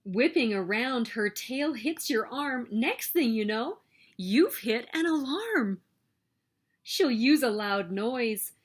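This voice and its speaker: Lady doing storytime for little kids, slow n high voice, really swoopy and expressive. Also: breathy edge. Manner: expressive, emphatic storytelling with sing-song refrains. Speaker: children's story reader and host. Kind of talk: read-aloud picture book narration for children.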